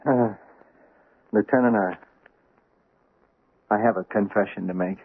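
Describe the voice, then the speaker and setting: An actor playing a nervous, awkward husband who stammers and hesitates. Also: male voice, lowish voice, fairly even intonation, measured pace, noisy background. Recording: tinny old radio broadcast.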